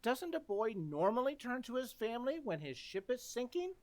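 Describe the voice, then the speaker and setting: Woman playing an earnest, animated teenage boy. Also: fairly high pitch, moderate pace. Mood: worried, pleading. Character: earnest, dramatic teenage boy, hapless son. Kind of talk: old-time radio comedy acting.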